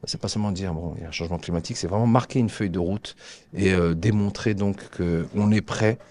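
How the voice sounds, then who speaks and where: A man giving formal public remarks as an agency representative, speaking haltingly with frequent hesitations and repeated words. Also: low voice, darkish tone, slight background noise.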